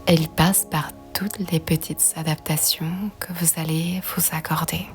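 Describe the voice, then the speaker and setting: A woman guiding a relaxation meditation in a soft, breathy, half-whispered voice, soothing and gentle. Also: deep voice, flat delivery, unhurried, faint music behind. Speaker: yoga nidra guide.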